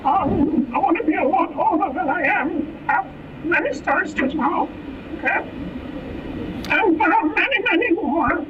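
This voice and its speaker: A woman speaking through a voice changer that makes her sound elderly, demonstrating it slowly. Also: extremely high pitch, animated, far from the mic, muffled recording, noisy background, pitched above the performer's own voice. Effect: voice changer aging filter.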